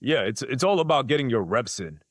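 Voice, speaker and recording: A man's voice: medium pitch, expressive, fairly quick, dry recording, clean studio sound. A man chatting casually as an interview guest, slangy and often checking that the listener follows. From born in South Korea, raised in Queens, New York. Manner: slangy, frequent "like" and "you know what I mean". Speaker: podcast guest, content creator.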